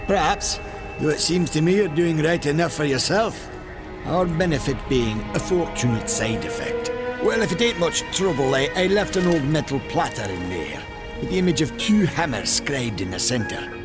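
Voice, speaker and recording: An actor playing a good-natured young giant blacksmith in a video game, folksy and earnest. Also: male voice, a little high, lively intonation, moderate pace, natural voice. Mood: friendly, hopeful. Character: eager good-natured young Maker smith, brawny giant. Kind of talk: video game character acting. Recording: game music behind.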